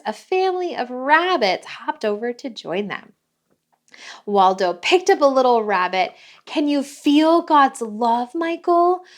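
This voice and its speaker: A woman reads a children's story aloud in a high, animated voice. She takes her time and is expressive, turning aside to ask young listeners questions. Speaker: children's read-along host. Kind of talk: animated picture-book storytelling.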